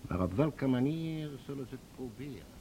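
A man playing a cold, suspicious leader of Mars in a science fiction radio drama, with formal, imperious, measured speech. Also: mid-range voice, animated, background noise, close to an everyday voice. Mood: cold, curt.

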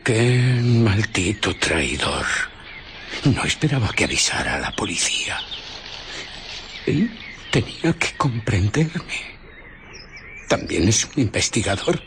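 An actor playing an embittered, megalomaniac scientist in a radio drama, brooding and menacing. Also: male voice, fairly low pitch, big pitch swings, slow pace, long pauses, heavily acted. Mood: bitter, menacing. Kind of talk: Spanish radio drama acting. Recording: loud background birdsong noise. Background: birdsong outdoor ambience.

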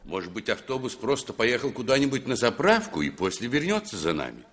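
A man's voice: medium pitch, sing-song, brisk, a bit bright. An actor playing a polite, soothing male passenger who speaks formally and reassuringly in an old radio play. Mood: polite, measured. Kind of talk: 1960s Soviet radio play acting.

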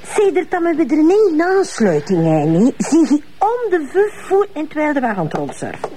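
An actress playing a Flemish policewoman in a television crime drama, brisk and chiding but easily flustered. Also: high-pitched, huge pitch swings, dark tone, slight background noise. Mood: scolding. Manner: chiding, hesitant when cornered.

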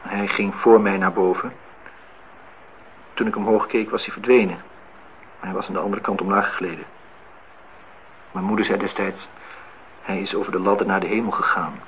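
A man acting a lonely, brooding engineer in a radio play. His voice sits fairly low and he has an insistent, melancholy temper. The recording is muffled, with some hiss and noise. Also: steady tone, moderate pace, long pauses, lightly acted. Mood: wistful reminiscence. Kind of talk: radio play dialogue and monologue.

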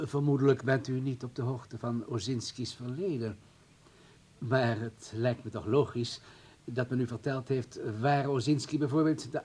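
A menacing political official in a radio drama. His husky, mid-pitched voice is polite on the surface, halting and full of veiled threats. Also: darkish tone. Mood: insinuating. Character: insinuating male political official, smooth-mannered and menacing. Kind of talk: radio play acting.

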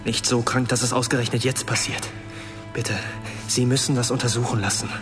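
A man's voice: medium pitch, level tone, a little fast, over music, lightly acted. Nervous, stammering young man played by an actor. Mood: upset, suspicious. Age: young adult. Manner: stammering, insistent. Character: nervous, defiant young male student turned blackmailer. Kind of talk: radio crime drama acting.

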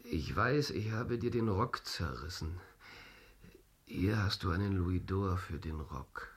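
An actor playing an aging, bitter, despairing playwright in a German radio play, theatrical, moving between muttering and grand outbursts. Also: male voice, low-pitched, lively intonation, slow. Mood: bitter, dejected.

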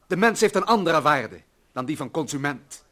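An actor playing an earnest, idealistic employee who defends humanity in solemn, bombastic radio-play oratory. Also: male voice, a little high, lively intonation, moderate pace, a bit bright. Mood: defiant. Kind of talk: declamatory older radio-play acting.

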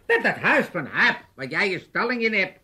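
A man's voice: high voice, big pitch swings, normal speed, pushed and bright, breathy edge, full character voice. An actor playing a chatty, easygoing old gambling father with an Amsterdam accent in a comic radio play, telling things in a hesitant, roundabout way. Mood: pleased. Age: older.

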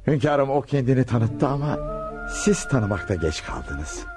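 A man's voice: medium pitch, big pitch swings, a little slow, music in the background. An actor playing a kindly, wise Sufi holy man in a radio play, courteous and knowing. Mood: calm, knowing. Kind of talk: Turkish radio theatre acting.

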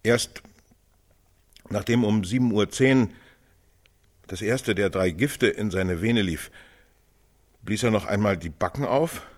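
An actor playing a grave, sober male eyewitness who gives halting, detailed testimony in a radio play. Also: fairly low pitch, breathy edge, exaggerated voice. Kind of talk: radio play monologue styled as witness testimony.

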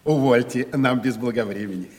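An actor in a radio play voicing a sly, obsequious provincial merchant with a folksy, deferential, wily manner. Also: male voice, medium pitch, normal speed, breathy edge, close to an everyday voice. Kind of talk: classic Russian stage comedy radio acting. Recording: 1970s radio play, reverberant hall.

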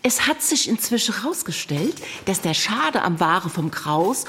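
A woman's voice: medium pitch, very animated, measured pace, bright, forceful tone, slight background noise, heavily acted. An actress playing a loyal, indignant woman who defends her boss in an emphatic, colloquial way in a radio drama. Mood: defensive, proud.

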